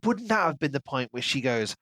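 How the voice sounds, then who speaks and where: A man's voice: medium pitch, sing-song, brisk, fairly bright tone, no room sound, studio quality. A man co-hosting a podcast, talking casually and analytically about a book as he thinks aloud. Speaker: podcast co-host.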